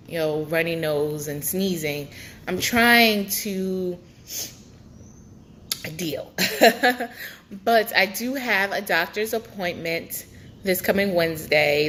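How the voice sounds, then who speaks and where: A woman's voice: sits low, a bit bright, slight background noise. A young woman vlogging a casual pregnancy update, chatty and rambling with many fillers, her nose stuffed up.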